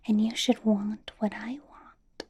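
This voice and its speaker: A woman voicing a possessive, doting, commanding ancient vampire boss in an ASMR roleplay, in a breathy whisper with coaxing hums. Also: mid-range voice, little pitch movement, slow pace, warm tone, highs cut off. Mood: firm, possessive.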